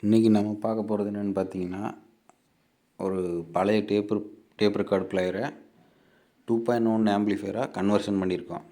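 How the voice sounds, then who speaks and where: A man's voice: on the low side, flat delivery, fairly quick, long pauses, mellow. A man with a Tamil Nadu accent giving an informal, plainly explained product walkthrough as an amplifier builder addressing his viewers. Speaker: amplifier builder and repairer presenting his video channel.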